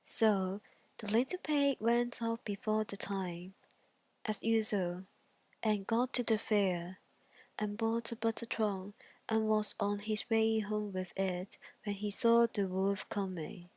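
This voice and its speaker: A woman's voice: mid-range voice, steady tone, takes it slowly, no room sound. A woman storyteller narrating a scripted children's bedtime story.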